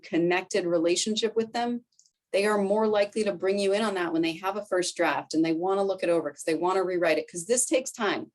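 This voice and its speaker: A woman in her forties with a Boston accent talks through a conference presentation in a loose, chatty way. Her voice sits a little low, and she keeps checking in with the room as she goes. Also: a little mellow. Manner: chatty, conversational, fillers like "right?" and "like". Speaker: foundation director presenting to colleagues.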